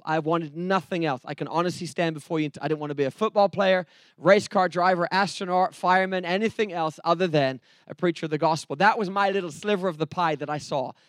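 High-voiced English preacher man. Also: no echo. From England, later Texas. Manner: earnest storytelling with joking asides and repeated phrases. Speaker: preacher, evangelist. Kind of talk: sermon with personal testimony and humour.

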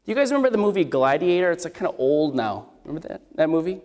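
A man's voice: a little high, big pitch swings, racing, a little mellow. A man preaching an expository sermon with a scratchy voice, talking conversationally and asking his listeners questions. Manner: conversational, asks the congregation questions. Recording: long hall reverb.

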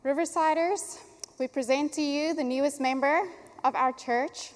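A woman's voice: high-pitched, steady tone, normal speed. Woman pastor in reverberant church. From South Africa. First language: Afrikaans. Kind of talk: baptism prayer and address. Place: church sanctuary. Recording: long church hall reverb.

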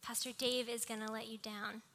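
A woman's voice: on the high side, level tone, moderate pace, fairly bright tone. A young woman preaching a sermon with personal anecdotes, in a small, cartoonish voice. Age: young adult. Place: live talk before a congregation.

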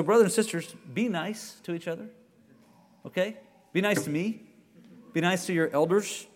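Man preaching casually in a reverberant hall. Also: on the high side. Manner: conversational, repeats words, says "okay?". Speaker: pastor leading a church study. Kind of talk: informal sermon with questions.

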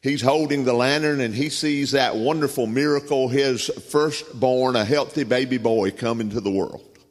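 A man with a Southern US accent preaching a sermon, telling folksy stories with frequent pauses and filler words. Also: mid-range voice. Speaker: guest preacher. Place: church sanctuary. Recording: reverberant church sanctuary.

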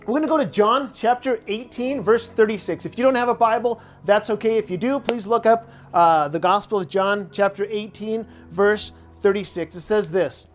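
Middle-aged American man, pastor, easygoing conversational sermon delivery. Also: high-pitched. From United States. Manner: conversational preaching with repeated phrases. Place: church sanctuary.

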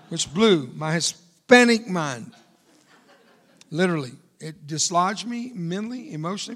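A man's voice: on the high side, fairly bright tone. An older man, a veteran, preaching to a church congregation with emphatic, repeated phrases. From United States. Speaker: guest speaker, veteran. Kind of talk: sermon.

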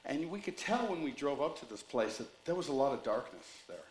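A man's voice: mid-range voice, very animated, brisk, reverberant, a little hiss or hum, low-quality recording. A man preaching a sermon with rambling asides and jokes, reading scripture and telling a story. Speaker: pastor.

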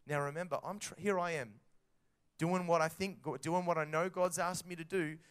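A man preaching a sermon to children and parents, telling a story in a lively way and acting out the dialogue. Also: a little high, normal speed, clean tone.

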